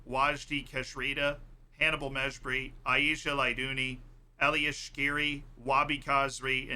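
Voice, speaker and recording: A man's voice: a little high, bright, forceful tone. A man hosting a casual live stream, quizzing his viewers in a playful, teasing, emphatic way.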